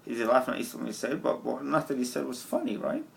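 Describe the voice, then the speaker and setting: A man's voice: medium pitch, sing-song, fast talker, gravelly. A young man with a slight Scottish-tinged accent, rambling profanely to his camera in a stream-of-consciousness monologue, often laughing at himself, at an outdoor bus stop. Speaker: vlogger. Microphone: camera mic.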